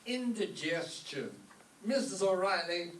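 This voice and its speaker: A man playing a hard-up, wisecracking music maestro, gloomy and deadpan, in a radio comedy. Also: high voice. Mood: deadpan, wry. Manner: deadpan, deliberate punchline delivery. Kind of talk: live old-time radio comedy acting. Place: echoey room, mic at a distance. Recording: roomy, distant live stage recording, faint noise.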